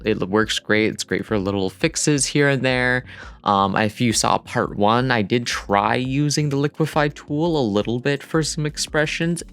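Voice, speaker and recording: A man's voice: fairly low pitch, a bit bright, smooth. A man giving a casual, rambling tutorial voice-over in an informal, self-deprecating way. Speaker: digital artist narrating a dev vlog. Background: music.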